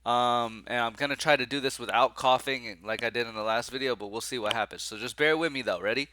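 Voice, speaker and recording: Man, congested and nasal, excitable and slangy, sharp bright voice, dry close sound. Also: mid-range voice, fast, no echo. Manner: excitable, slangy, swears casually. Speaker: sports betting picks host. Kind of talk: casual betting picks talk.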